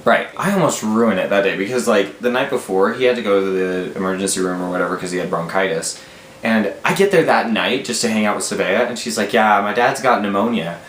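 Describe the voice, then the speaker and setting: A man's voice: on the low side, brisk, fairly bright tone. Young American man, roomy sound. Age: 20s. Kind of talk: casual storytelling to camera. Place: small room at home, indoors. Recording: roomy echo, faint background noise.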